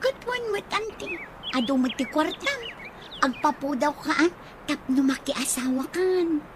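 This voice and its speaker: A perky young Ilocano niece played by a woman with a very high voice, cheeky and coaxing in a radio comedy drama. Clear background noise with birdsong sits behind her. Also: lively intonation, unhurried. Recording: noisy audio with birds.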